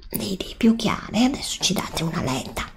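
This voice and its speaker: A woman doing a soft-spoken, often whispered ASMR roleplay as a travel agent, breathy and hesitant, close to the microphone. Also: medium pitch, big pitch swings, moderate pace. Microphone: desktop USB microphone, close.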